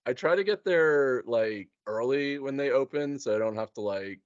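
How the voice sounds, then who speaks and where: A man's voice: medium pitch, animated, normal speed, no room sound. Chatty man, slightly muffled dry sound. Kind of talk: casual chat.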